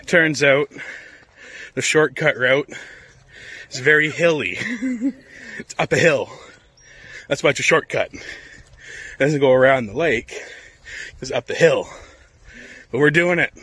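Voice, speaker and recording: A man's voice: fairly high pitch, lively intonation, measured pace, pauses often, sharp tone, slightly rough. A man in his thirties chatting and joking while hiking a forest trail, filming on a handheld camera. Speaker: vlogger. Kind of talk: casual family hike chat.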